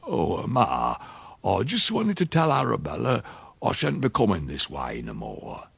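Guy doing a crusty old country uncle, gravelly and husky, slow drawl, voice swoops all over, dry sound. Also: fairly low pitch, wildly swooping pitch, no echo, exaggerated voice. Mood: sly. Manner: slow, chuckling drawl with dialect grammar. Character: sly old country uncle, boastful rustic yarn-spinner. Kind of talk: storybook reading.

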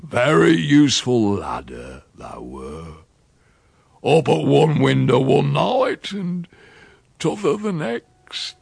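Guy doing a crusty old country uncle, gravelly and husky, slow drawl, voice swoops all over, dry sound. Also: mid-range voice, big pitch swings, a little mellow, no room sound, full character voice. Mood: chuckling. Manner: slow, chuckling drawl with dialect grammar. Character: sly old country uncle, boastful rustic yarn-spinner. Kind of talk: storybook reading.

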